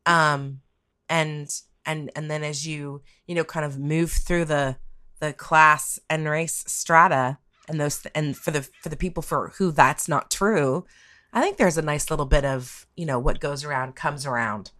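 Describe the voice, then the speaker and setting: A woman's voice: low voice, measured pace, a bit bright. A woman from the United States in a casual podcast chat, talking in a wry, reflective, rambling way. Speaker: podcast guest discussing topic of the week.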